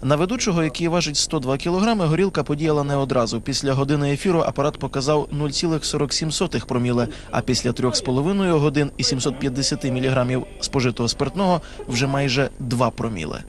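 A man's voice: medium pitch, fast talker. A man reading scripted narration as a television news reporter.

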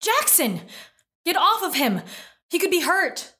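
A woman playing a gentle, protective farm mother in an audio drama. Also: very high voice, very animated, unhurried, exaggerated voice. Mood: alarmed.

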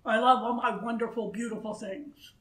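A man's voice: extremely high pitch, steady tone, moderate pace, fairly bright tone, echoey room, poor audio. A woman voicing a proud, possessive, frustrated king in a storybook, with a grudging, exclamatory manner. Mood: anguished refusal.

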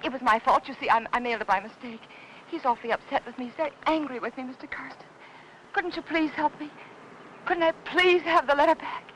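A woman's voice: high-pitched, fast talker, fairly bright tone, slight background noise, poor audio. A woman with an American accent acting an anxious, pleading housewife in a 1950s noir film. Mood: desperate, pleading. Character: anxious, pleading young suburban housewife in trouble. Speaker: lead actress in a feature film. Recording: old film soundtrack with score.

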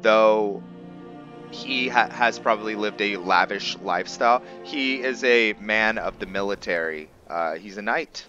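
A man with a low, bright voice commentates a roleplay video game. He talks slowly and muses with frequent pauses. Game music plays faintly behind him. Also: dull audio. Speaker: gameplay video host. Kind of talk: roleplay game commentary with some reading aloud.

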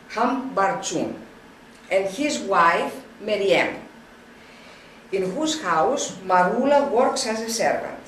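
Older woman reading a lecture slowly, roomy. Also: medium pitch, a bit bright, slight background noise. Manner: slow, halting reading with frequent restarts. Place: wood-panelled room, indoors. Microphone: camera at a distance. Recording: roomy, distant camera sound.

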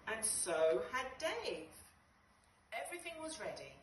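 A middle-aged woman reading a picture book to young children in an expressive, deliberate way with dramatic pauses. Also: a little high. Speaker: nursery school storyteller. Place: small nursery classroom, indoors. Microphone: camera a few metres away. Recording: roomy, distant, noisy phone-style video.

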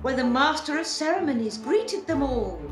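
A woman's voice: sits high, lively intonation, moderate pace, echoey room, over music. An actress playing a chatty, officious fairy godmother in a comic pantomime, matter-of-fact and bumbling. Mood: flustered. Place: wood-panelled living room, video call.

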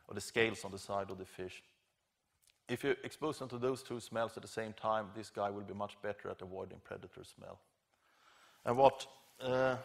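A man speaks as a professor giving a keynote lecture. His voice sits fairly low, with a lot of gravelly creak. He explains in an informal, somewhat halting way, correcting himself along the way. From Scandinavia. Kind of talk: academic conference lecture. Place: large lecture theatre stage at a podium.

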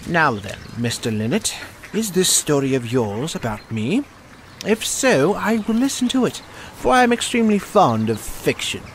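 A man's voice: on the high side, sing-song, moderate pace, slight background noise. A man playing a pompous, self-centred water rat in an audio drama, with a haughty, dismissive manner. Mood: curious.

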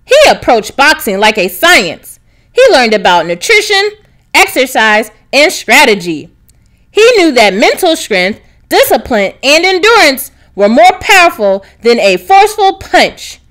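A woman narrating a children's storybook, reading aloud expressively with clear enunciation. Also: high voice, big pitch swings, unhurried, fairly bright tone. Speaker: narrator, read-aloud reader.

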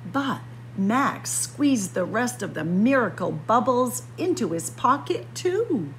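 A woman reading a picture book aloud to young children in a playful, expressive story time manner. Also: a little high, takes it slowly, slight background noise. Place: indoors, library with bookshelves.

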